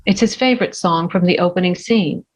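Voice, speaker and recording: A woman's voice: lowish voice, a little fast. A woman reading scripted screenplay stage directions as narrator at a table read. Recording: dull, lo-fi remote audio.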